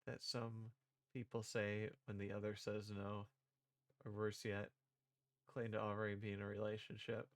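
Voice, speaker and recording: A man's voice: lowish voice, monotone, normal speed, no echo. A man reading visual novel dialogue aloud and performing the parts, with casual asides as a streamer.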